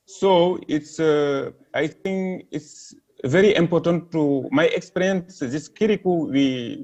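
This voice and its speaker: Senegalese man, hesitant panel talk, gravel in the voice. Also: a little high, darkish tone. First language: French. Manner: hesitant, many fillers and restarts; earnest, apologetic about his English. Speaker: panel speaker. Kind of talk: halting live-stream talk. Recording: dull lo-fi stream audio.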